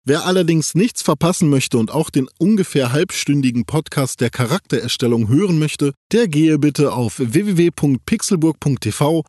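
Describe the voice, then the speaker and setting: Male podcast host for a scripted intro. Animated melody, dry recording. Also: mid-range voice, dry recording.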